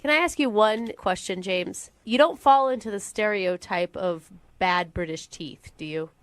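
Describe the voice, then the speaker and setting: A woman co-hosting a morning radio show, playful and quick to laugh. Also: medium pitch, fairly bright tone, clear, resonant tone, dry recording. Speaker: radio co-host.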